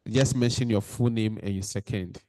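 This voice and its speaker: A man's voice: fairly low pitch, steady tone, moderate pace, dark tone, dry recording, clean studio sound. A man chairing a general meeting in a courteous, procedural way.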